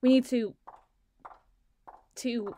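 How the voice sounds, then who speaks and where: A woman acting a sardonic, fast-thinking, bossy secret agent in an ASMR roleplay audio drama, wordy and wry. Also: a little high, fairly even intonation, dark tone. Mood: hushed, conspiratorial. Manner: wry, wordy, teasing, flustered when challenged.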